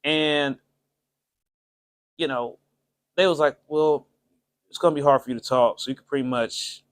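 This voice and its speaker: A man's voice: a little high, fairly even intonation, unhurried, clear, resonant tone, no echo, studio quality. A man hosting his own channel, chatting casually and jokingly to viewers in a loose, rambling way. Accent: Ohio, American. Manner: chatty, rambling, jokey with frequent laughs; shout-outs to viewers, loose colloquial speech. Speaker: channel host. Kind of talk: casual livestream monologue. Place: home setup with cameras.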